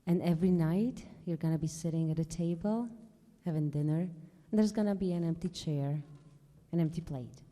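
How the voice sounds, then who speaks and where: A woman from eastern Slovakia, speaking in her second language, introduces songs from a concert stage. Her voice is very dark and mellow, a little low, and she talks plainly and carefully. Long reverb from the hall trails each phrase. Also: moderate pace. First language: Carpatho-Russian. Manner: plain, careful speech with small slips. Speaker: guest singer.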